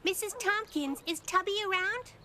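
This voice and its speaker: A woman voicing a quick-witted, chatty, matter-of-fact little girl in a cartoon. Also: very high-pitched, bright, forceful tone, a little hiss or hum. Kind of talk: cartoon stand-up monologue and dialogue.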